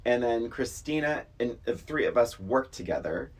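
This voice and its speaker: A middle-aged man with a husky voice and a very lively, swinging delivery. He co-hosts a podcast, chatting casually and teasing playfully. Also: medium pitch, moderate pace. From Massachusetts, USA. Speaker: podcast co-host. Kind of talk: casual bantering chat.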